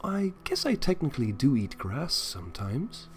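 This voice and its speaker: A man voicing a shy, infatuated young female cartoon pony, soft, hesitant and coy, in a story reading. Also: mid-range voice, very animated, normal speed, slight background noise, pitched above the performer's own voice, lightly acted. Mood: reluctant.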